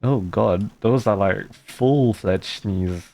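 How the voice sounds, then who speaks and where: A woman's voice: rumbling low, takes it slowly, gravelly, dark tone. A woman giving casual playthrough commentary as a game streamer, musing aloud and reading dialogue in an offhand way. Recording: game music behind.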